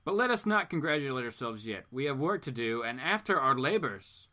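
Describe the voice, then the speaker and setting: A man voicing a smug female botanist villain from a comic book, speaking in a formal, theatrical, flattering way. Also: medium pitch, brisk. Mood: grandiose.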